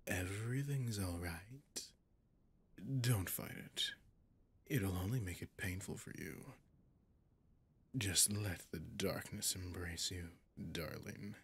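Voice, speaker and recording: A deep, breathy male demon, acted out by a man in an ASMR horror roleplay. He drawls with teasing condescension, often sinking into a whisper. He is seductive, possessive and menacing. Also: slow, pauses often, fairly bright tone, exaggerated voice. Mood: possessive, soothing.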